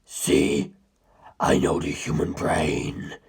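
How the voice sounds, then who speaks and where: A man voicing an ancient, menacing inhuman being in a dramatic story, gravelly and breathy, with grandiose, condescending speech. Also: drawn-out words, darkish tone, heavily acted. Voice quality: very deep, gravelly, husky, breathy. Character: ancient, menacing, superior male non-human predator musing on humans. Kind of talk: dramatic audiobook character reading.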